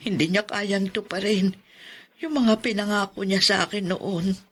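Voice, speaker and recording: A woman's voice: mid-range voice, steady tone, fairly bright tone, husky. A woman playing a forlorn elderly aunt left in a care center, speaking plaintively with sighs, in a Filipino radio drama. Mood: sorrowful. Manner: slow, plaintive, sighing.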